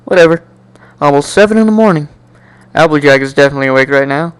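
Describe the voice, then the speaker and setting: High-voiced man giving an animated story reading. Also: fast talker, clean tone, no echo. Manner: animated, jokey asides, stumbles and repeats words. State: coughing, slightly sick. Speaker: amateur fan fiction reader. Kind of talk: dramatic reading of a first-person story.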